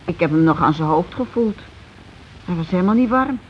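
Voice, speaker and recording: A muffled old radio play recording with some noise carries the voice of a shaken housewife, played by an actress. She is working-class and plain-spoken. Her lines come haltingly, with repeats and broken-off starts. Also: lowish voice, lively intonation, natural voice. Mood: flustered, explaining. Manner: halting, repetitive, falters mid-sentence. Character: shaken working-class housewife circling around grim news. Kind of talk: naturalistic radio play dialogue.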